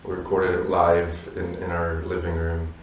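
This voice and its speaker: Brief: man, 30s, deep and even, reflective musician guest, roomy and off-mic. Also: normal speed, slight background noise. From abroad, Polish parents. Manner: reflective, many "you know" fillers. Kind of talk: relaxed interview answers. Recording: roomy echo from a distance.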